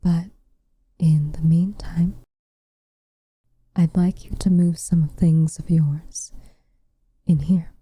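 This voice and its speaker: A woman playing a tender, protective witch with a dry teasing streak in an intimate ASMR roleplay, speaking softly and breathily, close to the mic. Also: low-pitched, fairly even intonation, no room sound, full character voice. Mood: gentle, hesitant. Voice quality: soft, breathy, near-whispered. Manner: gentle, measured, quietly teasing. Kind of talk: intimate ASMR roleplay, scripted character acting. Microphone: close studio mic, dry.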